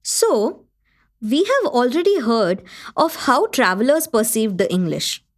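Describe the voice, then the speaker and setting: An actress playing the gracious Indian hostess of a literary gathering, presenting in an engaged, articulate scripted manner for a radio play. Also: female voice, a little high, sing-song, a little slow. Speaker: host.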